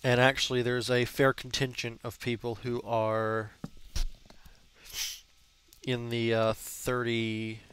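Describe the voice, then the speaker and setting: A man's voice: medium pitch, monotone, slow, pauses often, fairly bright tone, smooth. A young man in his early twenties with a stuffed-up nose, chatting casually and cursing while commenting on a block-building game. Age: young adult. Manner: chatty, cursing, rambling with fillers. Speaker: gaming channel host.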